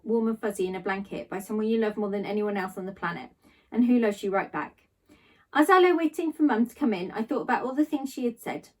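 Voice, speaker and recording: A woman teacher reading aloud to pupils in an expressive, storytelling manner. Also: a little high, recorded from a distance, rough recording. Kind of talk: expressive children's story narration.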